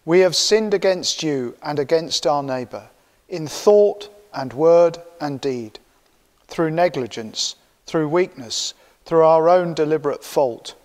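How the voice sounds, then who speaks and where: Older male minister, slow and solemn liturgy in a reverberant church. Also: on the high side. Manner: measured, solemn recitation. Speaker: minister leading the service. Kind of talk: liturgical prayers and confession. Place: church sanctuary, indoors.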